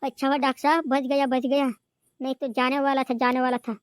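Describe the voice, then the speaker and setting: A man's voice: very high-pitched, level tone, fairly quick, a little hiss or hum. A man voicing an eager, deferential doctor's assistant who agrees repetitively in an amateur cartoon comedy. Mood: relieved.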